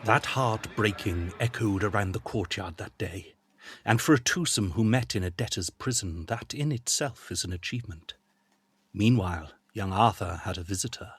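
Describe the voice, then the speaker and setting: A man's voice: fairly low pitch, normal speed, breathy edge. A man narrating an audio drama as a scripted literary storyteller.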